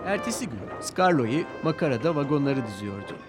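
A man's voice: medium pitch, very animated, a little fast. A man narrating a scripted children's story with dramatic storytelling delivery. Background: music.

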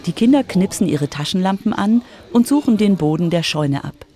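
A woman's voice: on the low side, expressive, moderate pace, dark tone. A woman reporter giving scripted narration for a children's radio story.

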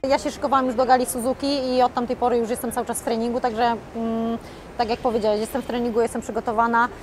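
A woman in her thirties, a boxer, answering interview questions in a calm, measured way that trails off softly. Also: a little high, over music. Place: outdoors by a glass building. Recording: outdoor city noise behind.

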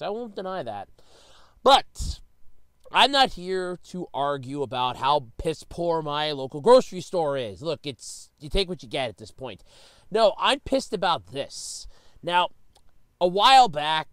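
A man's voice: fairly high pitch, no echo. A man, slightly stuffy with a cold, delivering an exasperated, emphatic, sweary comedic solo rant as host of his own series.

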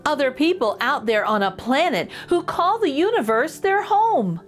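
A middle-aged woman hosting a children's storytime, reading a picture book aloud with expressive, exaggerated intonation. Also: high-pitched, moderate pace, faint music behind. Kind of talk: animated read-aloud for young children.

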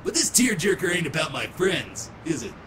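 A man's voice: on the high side, big pitch swings, normal speed, noisy background. A man playing a brash, bitter washed-up rocker who boasts and tells his story in slangy, theatrical style for a comic dub. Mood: brash, menacing. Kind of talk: comic-book dub narration. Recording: music playing behind the voice.

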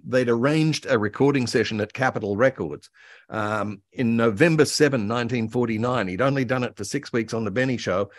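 Older guy on a video call interview, telling stories about old entertainment, normal pitch. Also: no room sound, studio quality. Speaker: guest author and voice actor. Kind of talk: enthusiastic anecdotal interview talk about old radio and cartoons. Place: indoor room at home, video call.

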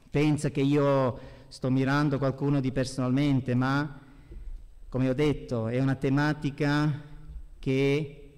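A middle-aged man preaches in a reverberant church hall. His voice sits fairly high for a man, and he takes his time, delivering each phrase with emphasis as a pastor at the pulpit. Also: smooth. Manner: emphatic, swinging between hushed and raised voice. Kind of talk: sermon with scripture readings. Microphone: gooseneck lectern microphone.

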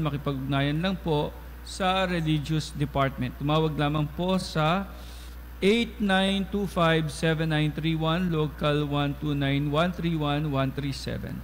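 A male priest speaks in a measured, formal way from a lectern mic. His voice sits a little high for a man and rings out with a long church reverb. A faint hum of the room sits under him. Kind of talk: liturgical prayer and announcements. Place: large church. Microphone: stand mic at lectern.

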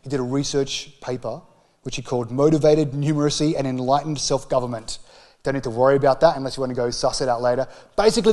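A man in his forties with an Australian accent, preaching a conversational sermon into a handheld microphone with emphatic, deliberate phrasing. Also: fairly high pitch, darkish tone. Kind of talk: sermon, conversational public teaching. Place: church hall with stage.

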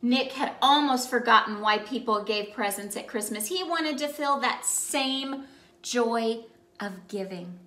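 A woman in her thirties reading a storybook aloud to children in an animated, expressive way with emphatic phrasing. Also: on the high side, a little slow. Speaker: children's ministry read-aloud host. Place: small indoor room by a Christmas tree. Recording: roomy indoor echo.

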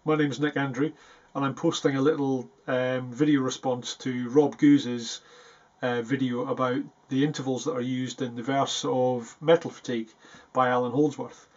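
Man in his forties, mid-pitched voice, casual self-deprecating chat, slightly muffled recording. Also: flat delivery, moderate pace. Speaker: guitarist presenting a video. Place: small foam-treated practice room indoors. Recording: dull, muffled sound.

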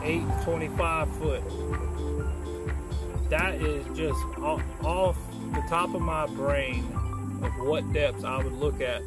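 A young man, giving fishing tips in a chatty, folksy, rambling way, recorded outdoors on a close camera. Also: on the high side. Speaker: fishing channel host. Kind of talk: casual fishing tips vlog. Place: outdoors in a leafy backyard. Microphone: camera close at arm's length.

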